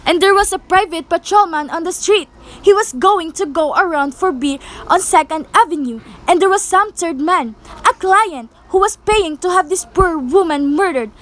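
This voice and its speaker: Young woman playing a very high-voiced, fretful invalid wife. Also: moderate pace, fairly bright tone. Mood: anxious, insistent. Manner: fretful, insistent, imperious. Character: anxious, demanding invalid wife, easily exasperated. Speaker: student performer in a school radio play. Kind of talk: amateur radio-play acting.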